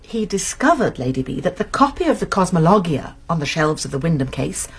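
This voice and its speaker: A woman's voice: deep voice, sing-song, brisk, slightly rough, heavily acted. An actress playing a shrewd British woman amateur sleuth in a radio drama, explaining things precisely and earnestly with small stammers.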